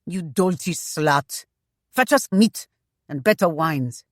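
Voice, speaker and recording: A woman voicing a rough, sneering male pirate captain who barks orders with contempt. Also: low voice, takes it slowly, dry recording, clean studio sound. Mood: contemptuous. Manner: barking, contemptuous.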